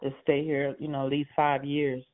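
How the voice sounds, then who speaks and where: A man's voice: on the high side, completely flat delivery, moderate pace. A man in his sixties, a homeowner on a phone call, talking hesitantly with many fillers and short laughs. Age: 60s. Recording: tinny phone line.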